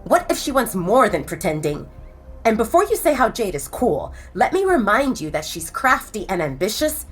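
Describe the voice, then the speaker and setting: A woman's voice: medium pitch, big pitch swings, moderate pace, breathy edge, soft background music. A woman voicing a serious male werewolf friend in an audio drama, giving an earnest warning. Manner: earnest, cautionary.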